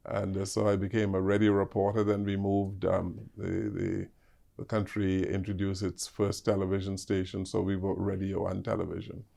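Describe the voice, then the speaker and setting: An older man with a Bahamian accent, a guest answering interview questions with many pauses and fillers. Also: deep voice, completely flat delivery, creaky, dark tone. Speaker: ambassador guest.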